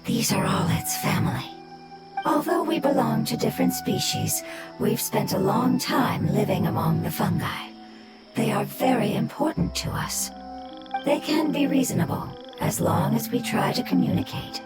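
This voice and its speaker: A woman voicing a serene, ancient elemental forest creature in a video game, soft, breathy, measured and solemn. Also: fairly high pitch, lively intonation, loud music behind the voice, close to an everyday voice. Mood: gentle, measured.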